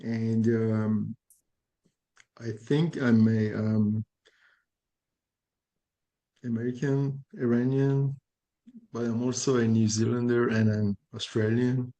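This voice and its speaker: Middle-aged guest, Iran-born, unhurried and flat, mellow tone. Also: male voice, mid-range voice, muffled recording. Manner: slow, pausing with fillers. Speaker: panel guest. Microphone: video call.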